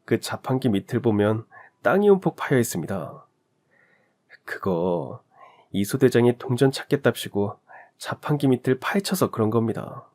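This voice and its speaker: A man voicing a weary young army private who complains in a slow, matter-of-fact way, in a lively storytelling read. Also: mid-range voice, natural voice. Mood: exasperated.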